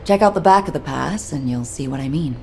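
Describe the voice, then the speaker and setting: A woman's voice: sits low, animated, fairly quick, creaky, darkish tone, slight background noise. A woman playing a brash, down-to-earth soldier-mechanic in a video game, talking in a direct, colloquial way. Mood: confiding, businesslike. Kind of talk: video game character acting.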